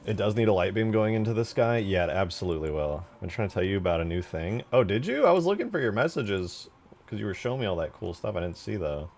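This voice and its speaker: Casting: male game streamer, low register, highly varied intonation, off-the-cuff and informal. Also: big pitch swings, fast, darkish tone, a little hiss or hum. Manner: informal, thinking aloud. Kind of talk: casual livestream chat.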